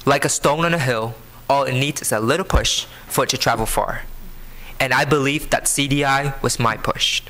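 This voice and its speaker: A man in his early twenties with a Vietnamese accent, giving an earnest prepared speech to an audience, phrasing deliberately. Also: medium pitch, bright, forceful tone. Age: early 20s. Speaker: scholarship program student speaker. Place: live benefit event before an audience.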